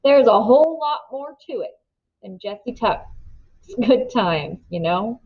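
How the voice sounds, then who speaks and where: A woman's voice: on the high side, animated, unhurried, husky. Woman doing serious careful older brother in a kids book, earnest, folksy, slightly dull audio. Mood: stern, warning. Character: serious, cautious older brother, young man.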